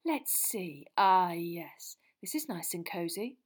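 A woman's voice: on the low side, expressive, slow. A woman voicing a gushing, predatory female gossip journalist with a sugary, coaxing manner.

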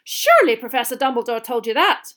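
Storybook role: stern schoolmistress witch, high, shrill, indignant and very correct. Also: very animated, fairly quick, sharp tone. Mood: flustered, reproving.

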